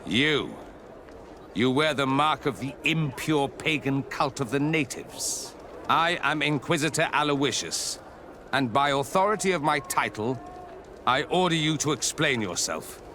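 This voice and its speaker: Menacing, dramatic zealot inquisitor. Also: male voice, mid-range voice, lively intonation, a little slow, bright, forceful tone, slight background noise. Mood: stern accusing. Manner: pompous, preaching, commanding. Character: fanatical, menacing male inquisitor of a zealous church. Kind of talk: fantasy video game acting.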